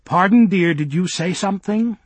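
A man voicing a sharp-witted young woman who speaks with dry sarcasm. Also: sits high, moderate pace, husky, no echo, voice pushed up. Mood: sardonic. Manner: dry, sardonic.

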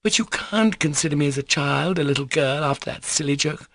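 Man voicing an imperious high-pitched teenage girl. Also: fairly quick, a bit bright, dry recording, clean studio sound. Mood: insistent. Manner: bossy, urgent, exclamatory. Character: imperious, excitable teenage girl, nervy and demanding. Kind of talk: dramatised audiobook reading.

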